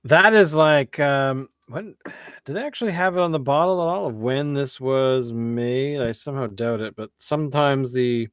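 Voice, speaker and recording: A man hosting a beer review podcast in casual chat, excitable and joking, often trailing off mid-sentence. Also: medium pitch, moderate pace, smooth, no room sound, clean studio sound.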